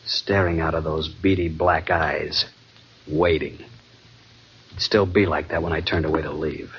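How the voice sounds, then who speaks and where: A man's voice: low voice, a little hiss or hum. An actor playing an anxious, haunted American man who tells a sea suspense tale in tense, dramatic 1940s radio style. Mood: brooding dread. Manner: tense, brooding, dramatic narration. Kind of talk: 1940s radio drama acting and first-person narration. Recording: muffled old radio recording, loud organ music.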